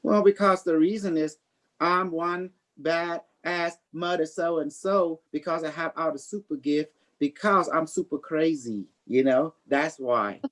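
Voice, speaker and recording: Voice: medium pitch, unhurried, a bit bright. A psychic reader hosting a casual livestream, boastful and playful, rambling in non-standard English grammar. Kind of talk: casual improvised psychic reading chat.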